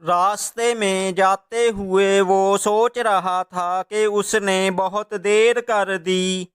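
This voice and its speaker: A man's voice: high voice, fairly bright tone, clean tone. A man narrating a scripted children's moral story as its storyteller.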